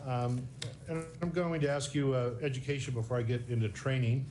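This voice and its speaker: A man questioning a witness as a lawyer in a formal deposition, halting and polite. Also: medium pitch, darkish tone. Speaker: plaintiff's lawyer. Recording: faint background noise, video call.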